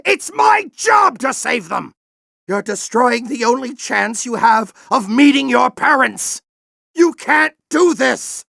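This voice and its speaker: A man playing a grizzled older alien warrior general in a video game, with a gruff, commanding, theatrical delivery and a fanatical intensity. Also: very high-pitched, big pitch swings, takes it slowly, pushed and bright, dry recording, raised voice, studio quality, exaggerated voice. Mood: desperate, furious. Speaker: military commander and mentor. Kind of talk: video game voice acting, combat barks and dramatic speeches.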